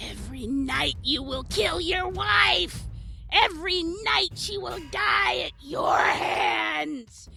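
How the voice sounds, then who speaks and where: A woman playing a vicious old nightmare demon in an audio drama, gloating in slow, taunting threats with a harsh, piercing shriek. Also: extremely high pitch, animated, creaky, sharp tone, shouting, soft background music, full character voice. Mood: vengeful fury.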